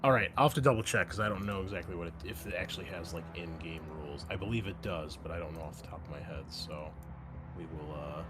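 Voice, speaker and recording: A man's voice: low voice, quick pace, heavy background noise. A man narrating as game master of a tabletop role-playing game, rambling a bit with fillers as he describes the scene. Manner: loose, rambling with many fillers.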